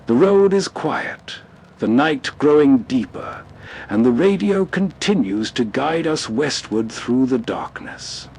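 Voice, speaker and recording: A man's voice: medium pitch, expressive, takes it slowly, gravelly, a little mellow, husky. A man hosting with scripted, atmospheric narration. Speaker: host.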